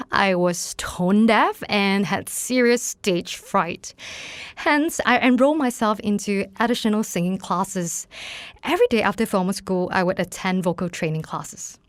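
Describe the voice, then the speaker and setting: Woman reading over music. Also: mid-range voice. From Malaysia. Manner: measured, reflective, reading from a script. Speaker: podcast host. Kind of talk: personal story read aloud. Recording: voice with music playing underneath.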